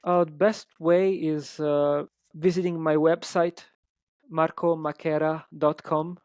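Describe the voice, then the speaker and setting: A man's voice: fairly high pitch, level tone, slow pace, a little mellow, dry recording. A man with an Italian accent, a musician guest chatting in an interview, hesitant with many ums and restarts. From Italy. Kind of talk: casual interview chat.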